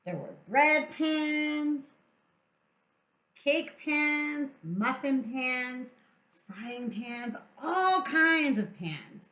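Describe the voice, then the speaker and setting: A woman reading a storybook aloud to young children as a storytime teacher, theatrical and deliberate, drawing out words for emphasis. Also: high voice, animated, a bit bright. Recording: echoey room sound.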